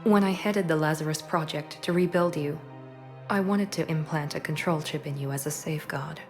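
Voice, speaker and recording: A woman's voice: low-pitched, moderate pace, over music. A woman playing a proud, guarded secret operative in a video game, speaking in a serious, controlled and earnest way. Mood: confessional, regretful. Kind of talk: cinematic video game acting.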